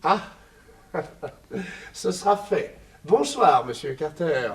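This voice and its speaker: An actor playing a smug, genteel captor with unctuous, over-courteous manners, in a 1960s French radio serial. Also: male voice, a little high, very animated, slow pace, distant mic. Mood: obliging, amused. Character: suavely polite male villain, smug genteel captor.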